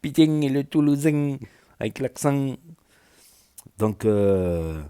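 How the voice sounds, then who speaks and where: A man's voice: medium pitch, expressive, slow, mellow, clear, resonant tone. A man with a southwestern French accent giving rambling, casual reaction commentary as a video host, full of fillers and restarts. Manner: rambling, filler-heavy, many "voilà" and "euh". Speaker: YouTube news commentator.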